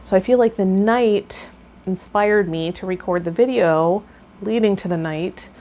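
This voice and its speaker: A woman's voice: medium pitch, measured pace, warm tone. A woman speaking as a tarot vlogger and guide, explaining and chatting directly to viewers. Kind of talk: reading card meanings, then casual chat to viewers. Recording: clear background noise.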